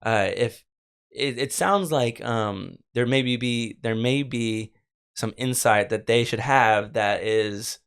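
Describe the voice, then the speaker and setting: A man's voice: medium pitch, takes it slowly, fairly bright tone, clean tone. A man hosting a podcast, chatting casually and feeling his way through questions as he rephrases them. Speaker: podcast host, interviewer. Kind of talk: casual interview chat.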